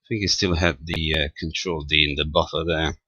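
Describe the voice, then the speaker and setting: A man giving a casual, unscripted technical demonstration as a radio hobbyist, talking haltingly and half muttering to himself as he works. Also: very deep voice, fairly even intonation, pushed and bright, slightly rough, no echo. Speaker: amateur radio operator demonstrating equipment.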